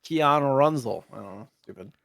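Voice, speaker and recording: Guy in his 30s on a gaming podcast, kinda halting, mid pitch, dry sound. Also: animated, vocal fry, a bit bright, dry recording. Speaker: podcast co-host. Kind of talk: casual retro gaming chat.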